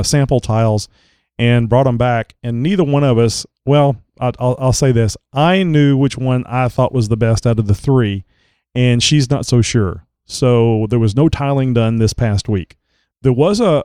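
A man's voice: mid-range voice, dark tone, clean tone, dry recording, studio quality. A man hosting a casual chat show, rambling with lots of filler words, his voice a little crackly as he recovers from a cold. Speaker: podcast host.

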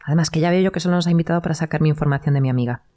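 An actress playing a sarcastic, easily irritated dinner guest in audio fiction, speaking in a direct, sardonic way. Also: female voice, low-pitched, racing, dark tone, natural voice. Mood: annoyed, conspiratorial. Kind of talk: live-recorded audio fiction acting.

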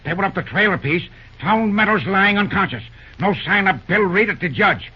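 Bashful folksy railroad fireman, lighter husky voice, rustic and hesitant, muffled old radio show. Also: high voice, expressive, normal speed. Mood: worried. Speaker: radio drama actor. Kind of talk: old-time radio drama acting.

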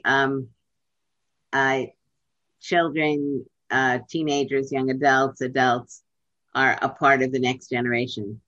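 Measured woman on dull lo-fi audio. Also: extremely low pitch, fairly bright tone, no echo. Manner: measured, deliberate, with frequent pauses and fillers. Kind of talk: remote interview answers.

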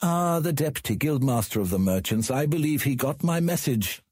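A man acting as a cunning, humorless old lord in an audiobook drama, measured and condescending. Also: mid-range voice, animated, a little mellow, slightly rough, dry recording, studio quality.

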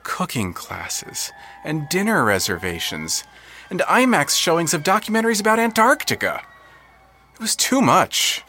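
A man's voice: fairly high pitch, very animated, a little slow, sharp tone, slight background noise. A man narrating scripted literary fiction in a dramatic, wry storytelling manner. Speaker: narrator of an audio fiction podcast.

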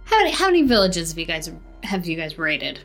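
Sarcastic young guy recruit, bright tone, faint music behind, woman acting it. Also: fairly low pitch, big pitch swings, fast. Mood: wary. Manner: sarcastic, talks back. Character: young male cult recruit, snarky spy.

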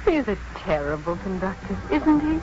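An actress playing a bold, affectionate young wife in 1950s radio drama, brisk and playful. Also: female voice, medium pitch, very animated, background noise, natural voice. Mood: fond, playful. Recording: dull old radio recording with music.

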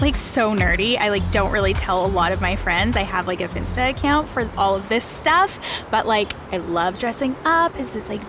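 A bubbly, self-impressed young influencer on an audio-drama reality show. Her voice sits fairly high and gushes along over prominent music. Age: young adult. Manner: gushing, filler-heavy valley-girl talk. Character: bubbly, self-regarding young female social media influencer. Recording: loud music bed behind voice.

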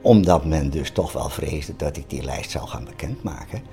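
A man's voice: extremely low pitch, animated, normal speed, warm tone, soft background music. An older Dutch man from the Netherlands recalling things plainly and colloquially in a radio documentary interview. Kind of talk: casual interview recollection.

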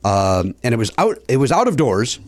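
A comedian in his fifties with a raspy voice, chatting casually and haltingly on a podcast, repeating himself with mock exasperation. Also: mid-range voice, sing-song. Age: 50s.

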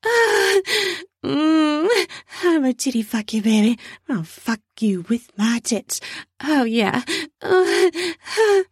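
Woman acting out a high, breathy, lustful female lover. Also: sing-song, slow, no echo, studio quality, exaggerated voice. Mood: teasing, aroused. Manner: breathy, moaning, pleading. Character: eager, lustful adult woman lover in erotic story.

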